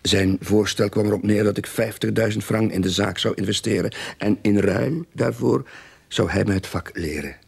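Radio drama casting: sceptical novice investigator, creaky and low, husky, colloquial, a bit awkward. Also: male voice, normal speed, full character voice. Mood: wry, uneasy. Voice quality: gravelly, husky. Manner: hesitant, colloquial, grumbling. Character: reluctant, sceptical novice male private detective, awkwardly polite.

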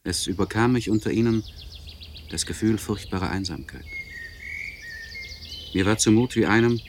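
An actor playing a tormented, learned gentleman in a literary radio monologue, speaking formally and deliberately in elevated, precise language. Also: fairly low pitch, noisy background, natural voice. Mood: melancholy, lonely. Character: anguished, learned gentleman writing a confessional letter, tormented and precise.

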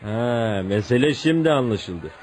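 A man's voice: mid-range voice, animated, measured pace, a little mellow, lightly acted. An actor playing a greedy, idle nephew in a radio drama, blunt and slangy in his talk. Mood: startled, scornful. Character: idle, greedy, scornful nephew awaiting inheritance.

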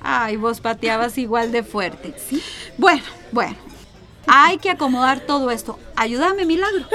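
An actress playing a warm, fussing mother in a radio drama, with a Costa Rican accent. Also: on the high side, a little slow. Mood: tender.

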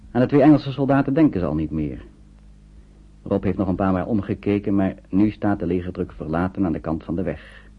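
A low-voiced man narrates a radio play with measured solemnity. His voice is husky. The recording is thin and phone-like, like an old broadcast. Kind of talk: scripted radio drama narration. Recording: tinny, narrow-band old radio recording.

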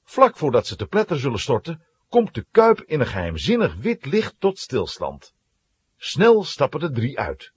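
A man's voice: medium pitch, wildly swooping pitch, moderate pace, breathy edge. A man narrating a children's adventure story as a dramatic, scripted storyteller.

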